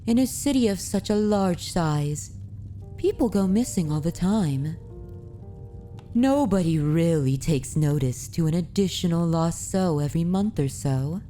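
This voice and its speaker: Woman doing a posh-worded vampire mistress for asmr, predatory but comforting, talks real slow and formal, dark relaxed voice. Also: on the low side, soft background music. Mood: coolly menacing. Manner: formal, deliberate, archaic wording, soothing. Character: aristocratic female vampire mistress, predatory yet gently consoling. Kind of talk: ASMR roleplay, scripted dramatic monologue.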